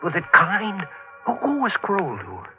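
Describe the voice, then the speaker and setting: A man's voice: high-pitched, huge pitch swings, normal speed, phone-quality sound. An actor playing a brooding, obsessive newspaper reporter who narrates his own mystery in intense 1940s radio style. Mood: anguished, pleading. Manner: intense, dramatic. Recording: band-limited old 1940s radio, music behind.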